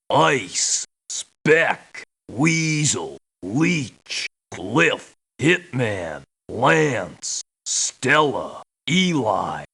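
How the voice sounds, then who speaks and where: An actor playing a gruff mercenary in a video game, calling out single words in a gravelly, raspy voice. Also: male voice, mid-range voice, pushed and bright, exaggerated voice. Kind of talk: video game character clips, isolated words.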